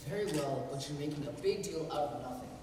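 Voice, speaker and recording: An actor playing a brusque, dismissive husband in a stage play. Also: male voice, a little high, fast talker, noisy background, natural voice. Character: dismissive husband, greedy and impatient. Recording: echoey hall from far off.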